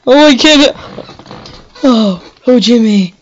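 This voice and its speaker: A woman voicing a swooning, lovestruck young woman who calls out pleadingly for her lover in a high, breathy voice. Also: lively intonation, takes it slowly. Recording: slightly dull lo-fi mic.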